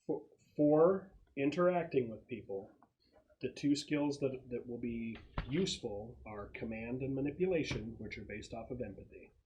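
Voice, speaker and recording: A man running a casual tabletop role-playing game as game master, explaining the setup with halting pauses. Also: medium pitch, lively intonation, darkish tone, dull audio, slight background noise.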